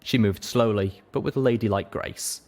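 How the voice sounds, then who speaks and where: Low-voiced man narrating a story. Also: moderate pace, a little mellow. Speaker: narrator of a reading show. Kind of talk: dramatic scripted story narration.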